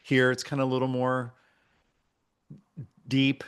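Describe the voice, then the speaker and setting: A man coaching in conversation, encouraging and thoughtful, often pausing mid-sentence as he gives feedback. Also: medium pitch, monotone, clean tone. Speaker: radio coach.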